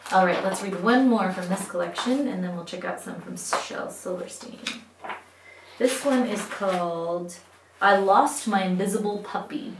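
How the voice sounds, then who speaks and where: A woman teacher reading poems aloud to children, with expressive, clearly phrased delivery that addresses young listeners directly. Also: on the low side, measured pace, a little hiss or hum. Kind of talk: read-aloud of children's poetry. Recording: roomy echo, distant microphone.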